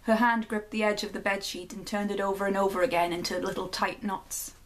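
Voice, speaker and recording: A young Geordie woman talking straight to her viewers in a loose, chatty way, full of ums and likes. She laughs at her own slips and then settles into reading a short story aloud at a middle pitch. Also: level tone, fairly quick, slight background noise, rough recording. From North East England. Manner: chatty, laughs at herself, says um and like. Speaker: vlogger.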